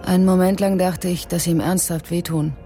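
An actress as a lowish-voiced young woman. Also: fairly even intonation, a little fast, mellow, natural voice. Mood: excited. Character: young woman recounting wild escapades with the boys. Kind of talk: radio drama narration. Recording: music under the voice.

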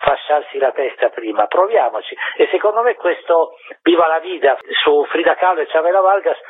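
A man's voice: a little high, animated, fairly quick, slight background noise. A man speaking as a theatre director in a radio interview, informal and explanatory, over a phone. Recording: tinny, phone-like radio line.

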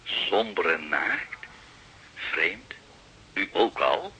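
A man's voice: low-pitched, expressive, very slow, bright, forceful tone, slightly rough, heavily acted, rough recording. A man playing a flustered, wary art dealer on a telephone in a radio drama, fussy and hesitant. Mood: startled, puzzled. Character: flustered, wary male art dealer taking a phone call.

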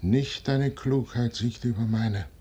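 An actor playing a proud, ambitious middle-aged general in a classical verse radio drama, declaiming imperiously. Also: male voice, medium pitch, slow, dark tone, slightly rough. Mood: defiant, bitter. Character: proud, ambitious general, commanding and fatefully self-assured.

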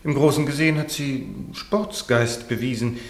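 A man plays a stiff, courteous gentleman in a radio drama. His voice is mid-pitched, dry in manner and measured, in a very echoey room. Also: close to an everyday voice. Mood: reflective. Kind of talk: German radio play acting.

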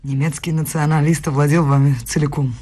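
A performer in a radio play voicing an earnest young woman scholar in love, with a husky voice and a direct, probing manner. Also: a little high, flat delivery, brisk, darkish tone, close to an everyday voice. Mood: bitter, resolute.

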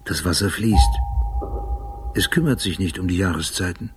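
A haunted, obsessive man, acted out by a male actor narrates in a radio play. His lowish voice moves in terse, often hushed phrases. Prominent music plays underneath. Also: normal speed, close to an everyday voice. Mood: quiet, deliberate. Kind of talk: radio drama interior monologue. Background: loud music.

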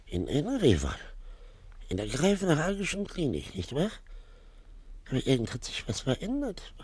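Husky, muddled old patient. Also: male voice, fairly high pitch, huge pitch swings, darkish tone, no echo, full character voice. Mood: confused, agitated. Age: older. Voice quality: husky, gravelly, breathy. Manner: halting, repeating himself, muddled. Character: confused, weakened old man in a hospital bed. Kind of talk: 1950s German radio play acting.

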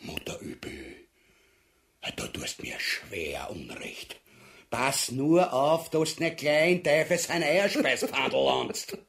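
A man's voice: mid-range voice, very animated, takes it slowly, gravelly, a bit bright, full character voice. An actor with an Austrian accent playing a vain, crude ex-king and captain in a farcical radio play, pompous and bumbling, repeating himself. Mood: gruff, menacing. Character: blustering, vain, crude, easily tempted ex-king and dragoon captain. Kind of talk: farcical radio play acting in broad dialect.

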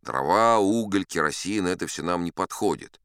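A man's voice: sits low, moderate pace, a bit bright, clear, resonant tone, no echo, studio quality. An actor playing a boastful old sea captain, telling his tall tales in a folksy, self-assured, conversational way. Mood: wry, unhurried. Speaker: audiobook performer narrating in character. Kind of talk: dramatic first-person storytelling.

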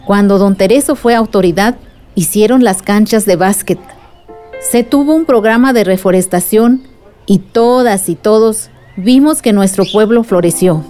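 An actress in a radio drama playing an earnest, patient adult who explains village struggles to a child. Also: female voice, medium pitch, normal speed, warm tone, faint music behind, natural voice. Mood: patient storytelling.

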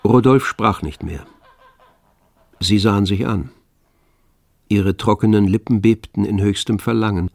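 Lowish male radio-play narrator. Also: measured pace, gravelly, long pauses, darkish tone, no room sound.

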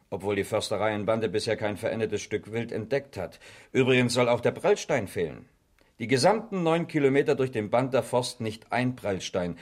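A man acting a sceptical, needling police detective in a 1970s East German radio crime drama, dry and accusing. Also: on the low side. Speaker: actor.

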